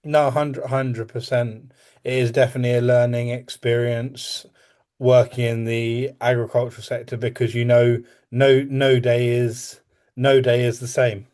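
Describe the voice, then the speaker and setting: Flat, mellow-voiced man hosting an interview. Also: mid-range voice, measured pace. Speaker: podcast host.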